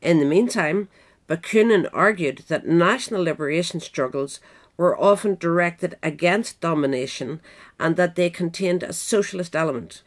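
A woman reading a scripted history essay aloud in a measured, formal manner. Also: lowish voice. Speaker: audiobook reader.

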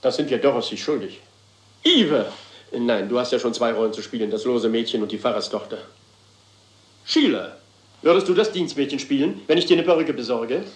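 An actor playing a self-important, fussy theatre director with a theatrical, courteous manner in a German crime radio play. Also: male voice, mid-range voice, expressive, brisk, natural voice. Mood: agitated, lamenting. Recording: roomy echo, faint background noise.

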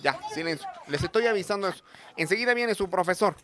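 A man's voice: high voice, animated, fast talker, a bit bright, a little hiss or hum. A man playing an exasperated deputy school director, scolding a class in a crude, repetitive way in a comic radio sketch. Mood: stern.

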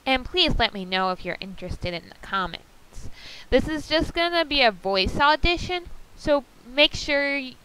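A woman with a clear, fairly high voice gives a casual video update. She speaks slowly and haltingly, often pausing partway through a sentence. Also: a bit bright, poor audio. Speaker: amateur animator presenting a voice audition call.

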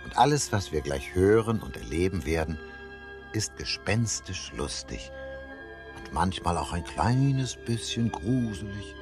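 A man's voice: deep voice, big pitch swings, a little slow, darkish tone, soft background music. A man narrating a children's ghost radio play in a suspenseful storytelling manner. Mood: inviting. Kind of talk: scripted children's audio drama narration.

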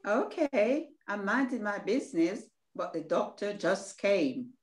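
Inquisitive, indignant little sister, Caribbean older woman reader; lively intonation, stage-play reading. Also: mid-range voice, unhurried. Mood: nosy, indignant. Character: inquisitive, indignant, tender-hearted younger sister in Barbados. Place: indoor room at home, on video call. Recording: roomy video call sound.

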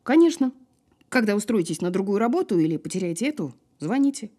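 Mellow, guarded, sardonic woman. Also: medium pitch, very animated, husky. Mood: cool, teasing. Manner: dry, sardonic, unhurried sparring. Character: wry, guarded woman who survived a fall. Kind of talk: naturalistic audio drama acting.

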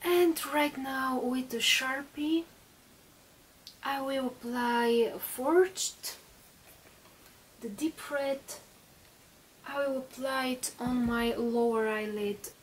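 A woman's voice: high-pitched, fairly even intonation, extremely slow, pauses often, recorded from a distance, a little hiss or hum, poor audio. A woman in her thirties, a native Bulgarian speaker, chatting through a makeup tutorial in a small bedroom with lots of fillers and restarts. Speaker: beauty vlogger.

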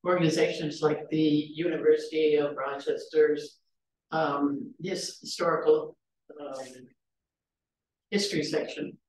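A man's voice: on the high side, steady tone, measured pace. A man asking a question from the audience, hesitant and searching for words. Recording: very echoey, distant, faint noise.

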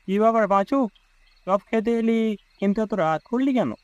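A man's voice: high voice, normal speed, a little mellow, clear, resonant tone, dry recording, natural voice. A man voicing a kindly, aging village shopkeeper in a cartoon story, familiar and avuncular. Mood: kindly, concerned. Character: kindly aging village snack-shop uncle.

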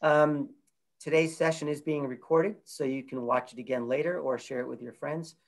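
A man in his fifties hosts a webinar from a room at home over a video call. His voice sits fairly high for a man, and he talks loosely, filling gaps with many ums and uhs. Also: fairly even intonation, normal speed, vocal fry. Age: 50s. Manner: loose, filler-heavy delivery. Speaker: event moderator. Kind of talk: webinar introduction.

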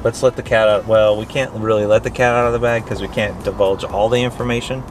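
A man's voice: on the low side, steady tone, fast talker, soft background music. Chatty middle-aged man vlogging from a car. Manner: chatty, teasing, laughs often. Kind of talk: casual road trip vlog. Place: inside a car, partly outdoors by a highway.

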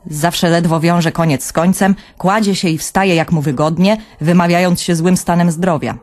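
An actress playing a wry younger sister who narrates a radio play. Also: female voice, on the low side, fairly even intonation, normal speed, darkish tone, natural voice. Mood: wry, confiding. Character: wry, girlish younger sister telling her story.

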